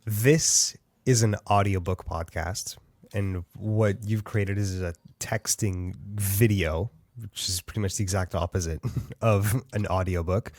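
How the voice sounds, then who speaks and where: A man's voice: deep voice, fairly even intonation, unhurried, a little mellow, no echo. A man hosting an audiobook podcast, interviewing an author in an enthusiastic, chatty way. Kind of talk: casual interview.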